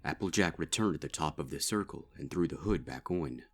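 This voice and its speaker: A man giving grave, measured narration of a dark fan story. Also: rumbling low, animated. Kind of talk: dark fanfic audiobook narration.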